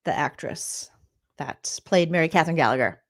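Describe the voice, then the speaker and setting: Woman comedian, snarky recap host, mocks the cast with quick impressions. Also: deep voice. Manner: mocking, jumps into exaggerated character voices. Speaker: comedian recapping a reality show.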